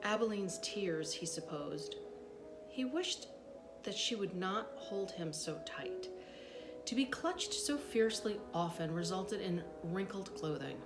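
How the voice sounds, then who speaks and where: A woman reading a children's book aloud as an expressive storyteller, with dramatic pauses and brief asides. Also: mid-range voice, music in the background. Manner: animated, dramatic pauses, acts out dialogue.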